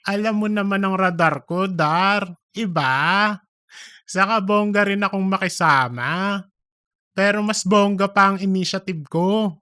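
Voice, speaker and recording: A man's voice: high-pitched, unhurried, sharp tone, smooth, no room sound, studio quality. A man playing a gossipy, cheeky office worker in a comedic audiobook, speaking in a campy, dramatic, slangy way. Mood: boastful. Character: gossipy, cheeky, self-promoting office worker named Cheese.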